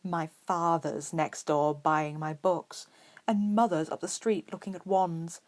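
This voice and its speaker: A woman's voice: lowish voice, steady tone, slow. A haughty young wizard boy acted out by a woman, drawling lazily through a story. He is spoiled and snobbish, with a derisive edge to his words. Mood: lazy, entitled. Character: pale, haughty, spoiled eleven-year-old wizard boy.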